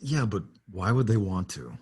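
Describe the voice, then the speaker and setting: Man playing a husky, low-key guy. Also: very animated, moderate pace, a little mellow. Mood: casual, doubtful. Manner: hesitant, understated. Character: hesitant, low-key male undercover team member. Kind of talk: amateur table read of TV drama script.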